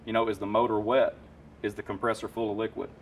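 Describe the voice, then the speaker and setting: Lowish-voiced man talking shop about tools. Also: level tone, fast talker, far from the mic, a little hiss or hum. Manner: enthusiastic, practical, lots of fillers. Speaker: HVAC technician explaining tools. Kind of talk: casual shop talk, explaining. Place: warehouse parts room with shelves.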